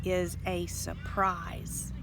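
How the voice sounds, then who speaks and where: A woman's voice: lowish voice, monotone, extremely slow, music in the background. A woman voicing a daring, bossy girl chicken in a children's storybook, pushy and emphatic. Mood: bossy, scheming.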